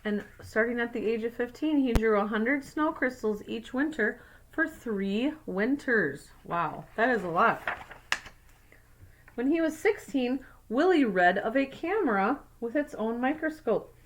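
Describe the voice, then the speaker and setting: A woman's voice: a little high, expressive, unhurried, slight background noise, poor audio. A woman teacher reading a picture book aloud to young children, adding simple explanatory asides. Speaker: second grade teacher.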